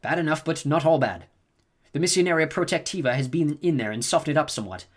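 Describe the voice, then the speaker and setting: A man's voice: a little high, animated, quick pace, voice pushed up. A man doing a stern, imperious old reverend mother. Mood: probing. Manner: sharp, scolding, commanding. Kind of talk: audiobook character reading.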